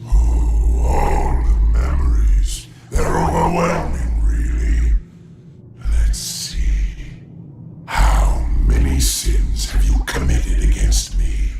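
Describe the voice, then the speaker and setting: An actor playing a menacing, mocking god of the underworld in a video game, with a gravelly voice and a slow, sneering delivery. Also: male voice, rumbling low, expressive, full character voice. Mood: mocking menace. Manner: slow, sneering, theatrically taunting. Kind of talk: video game cutscene acting. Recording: loud game music behind voice.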